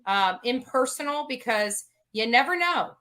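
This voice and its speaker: A woman with a slightly high, bright and forceful voice gives unscripted commentary. She is insistent and self-justifying, laying out her reasoning as an online commentator. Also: lively intonation, a little slow.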